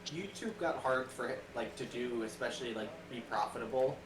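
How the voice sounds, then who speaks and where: A man's voice: medium pitch, brisk. A man with a nasal, stuffy voice chatting casually on a call, rambling with lots of fillers. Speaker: co-host on a call. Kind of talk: casual chat. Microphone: old webcam microphone. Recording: distant echoey webcam mic with noise.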